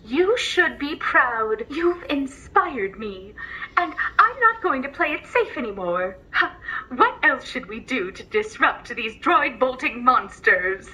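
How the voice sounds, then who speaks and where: A woman's voice: high-pitched, sing-song, takes it slowly, pushed and bright, full character voice, low-quality recording. A woman playing an earnest, polite logistics droid who speaks formally and tells bedtime stories to a passenger. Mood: grateful, excited. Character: earnest, grateful female logistics droid, eager bedtime storyteller. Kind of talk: scripted character dialogue and storytelling.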